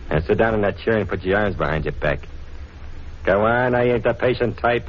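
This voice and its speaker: A man's voice: lowish voice, moderate pace, natural voice. A man playing a smug, menacing gangster with a tough, slangy, taunting delivery in a 1940s radio drama. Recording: dull, noisy 1940s radio recording.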